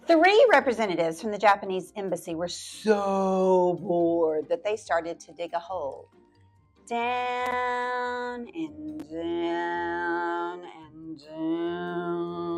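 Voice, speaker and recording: A woman's voice: on the low side, extremely slow, gravelly, long pauses, faint music behind. A woman library storyteller reading a picture book aloud to children, stretching words out and dropping into playful whispered asides.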